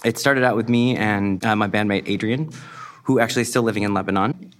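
A man's voice: on the low side, a little fast. A young adult man, a musician, talking casually in an interview, rambling a little with many fillers. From United States. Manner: relaxed, rambling with frequent fillers.

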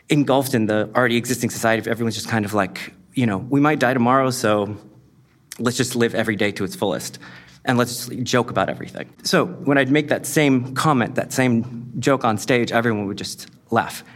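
A young adult man, a musician, talking casually in an interview, rambling a little with many fillers. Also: medium pitch. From United States. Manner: relaxed, rambling with frequent fillers.

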